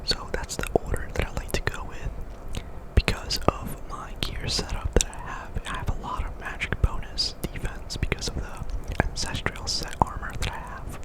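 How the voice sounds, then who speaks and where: A man giving ASMR game commentary in a breathy, unvoiced whisper, often softly repeating words and phrases. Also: normal speed, poor audio. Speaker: gaming video host.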